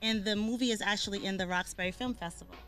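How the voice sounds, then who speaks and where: A woman's voice: mid-range voice, fairly even intonation, quick pace, fairly bright tone, soft background music. A woman from Boston, a guest on the show, talking casually with lots of ums and restarts. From Boston, Massachusetts. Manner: chatty, lots of ums and restarts, laughs easily. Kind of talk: casual interview talk.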